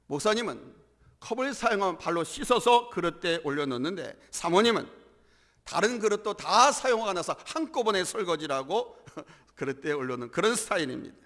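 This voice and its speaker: Hoarse, gravelly male pastor preaching. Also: sits high, fairly bright tone. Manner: emphatic preaching with swells in volume and calls to the congregation. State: hoarse from a cold. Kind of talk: sermon. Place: church worship hall. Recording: church hall reverb.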